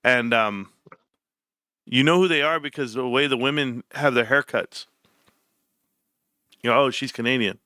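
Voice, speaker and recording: A man's voice: medium pitch, normal speed, pauses often, sharp tone, dry recording, studio quality. A man with a Southern Californian accent bantering casually as a live stream host, slangy, joking and teasing. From California, United States.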